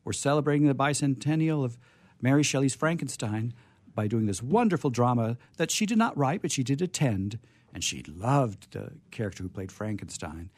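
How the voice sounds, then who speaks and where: A man's voice: mid-range voice, a little mellow, husky. A man hosting a radio theatre show in a casual, playful, rambling way. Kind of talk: casual, chatty live hosting.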